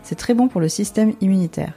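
A woman's voice: medium pitch, fairly quick, creaky, dark tone, soft background music. A woman from France hosting a podcast in a scripted, narrated style. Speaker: podcast host.

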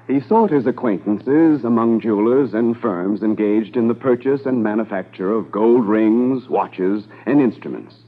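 An actor as the narrator of a 1950s radio crime drama, measured and portentous in delivery. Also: male voice, on the low side, close to an everyday voice. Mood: grave, dramatic. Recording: muffled 1950s radio recording.

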